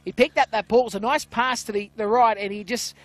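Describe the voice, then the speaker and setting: Man, very high voice, loud play-by-play caller, filler-heavy, outdoor ground. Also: fast talker. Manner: loud voice, calls play excitedly, many "uh" fillers. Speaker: play-by-play commentator. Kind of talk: live rugby league commentary.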